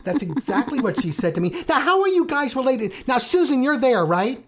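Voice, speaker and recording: A man's voice: very high voice, very animated, fairly quick. A man hosting a radio talk show, chatty and joking with his guests. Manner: jokey, chatty interviewer. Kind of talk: casual chat.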